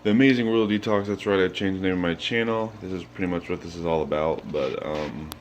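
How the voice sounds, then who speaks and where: Sleepy young man with a very low voice. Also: a little fast, a little hiss or hum. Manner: relaxed, rambling with many ums. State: sleepy, just woke up. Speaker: aquarium vlogger. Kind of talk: casual walkthrough vlog. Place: home room with fish tanks, indoors. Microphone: handheld phone camera.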